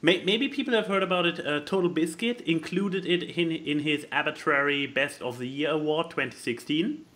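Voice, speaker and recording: Halting man, non-native English speaker, higher-pitched voice. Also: fairly bright tone. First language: not English. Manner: halting, stammering search for words. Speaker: podcast co-host. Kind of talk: casual chat explaining a board game.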